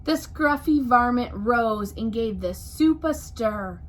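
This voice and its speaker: Slow, high-voiced woman reading a storybook. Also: animated, slight background noise. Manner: deliberate, emphatic. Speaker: teacher reading aloud to first graders. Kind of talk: expressive children's storybook read-aloud.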